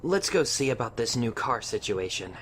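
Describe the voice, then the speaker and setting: A man playing an earnest, protective teenage boy in an audio drama, speaking with gentle reassurance. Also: medium pitch, very animated, normal speed, noisy background, lightly acted. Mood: reassuring.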